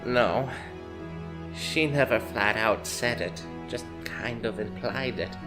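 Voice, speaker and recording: A man acts out a middle-aged grandmother giving testimony in an audio drama. The voice sits at a medium pitch, hesitant and chatty, sidestepping as it goes, with music underneath. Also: slow pace, close to an everyday voice. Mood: evasive, casual.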